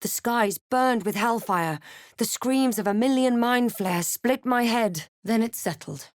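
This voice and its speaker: A woman voicing a snarky, proud female adventurer and spellcaster in a fantasy video game, with crisp, theatrical delivery. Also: a little high, measured pace, no room sound, studio quality, close to an everyday voice. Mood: grave recounting. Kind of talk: scripted game character lines and narration.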